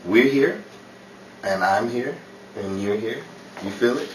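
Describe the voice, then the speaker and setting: A man's voice: lowish voice, animated, takes it slowly. Flirtatious, self-assured Parisian charmer, played by a man. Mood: playfully flirting. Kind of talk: old-time radio romantic comedy acting. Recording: roomy, distant, noisy audience recording.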